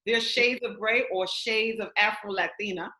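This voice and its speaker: A woman's voice: medium pitch, steady tone, moderate pace, sharp tone, echoey room, poor audio. A woman hosting a video call interview, chatty and enthusiastic, talking over her guest. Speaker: host.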